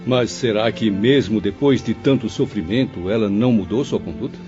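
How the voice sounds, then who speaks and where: A man's voice: on the low side, normal speed, music in the background, close to an everyday voice. A man playing a thoughtful, inquisitive professor in a formal audio drama. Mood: curious. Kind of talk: dramatised audio-book dialogue. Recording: dull, long reverb, faint ambient noise.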